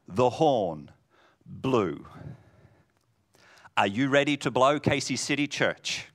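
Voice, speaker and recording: A man preaching a sermon in a booming voice, swinging from loud declarations to hushed lines. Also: very animated, slow, vocal fry.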